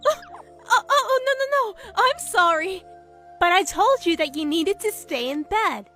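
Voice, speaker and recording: Woman voicing a very high, cutesy anime young lady. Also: animated, measured pace, soft background music, heavily acted. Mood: flustered. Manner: gentle, cutesy, hesitant when embarrassed. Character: sweet, kind, easily flustered young woman. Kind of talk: anime-style manga dub acting.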